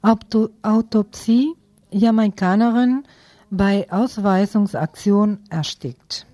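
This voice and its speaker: A woman reads radio news at an unhurried, slow pace. Her tone is soft-edged and mellow, and the recording is dry. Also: mid-range voice, dry recording.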